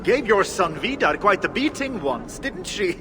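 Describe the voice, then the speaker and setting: A man's voice: high voice, very animated, moderate pace, very noisy. A man playing a needling dwarf sidekick in a video game. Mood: taunting. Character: high-voiced, needling male dwarf sidekick. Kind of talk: video game cinematic acting. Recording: long reverb, music under voice. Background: cinematic game music.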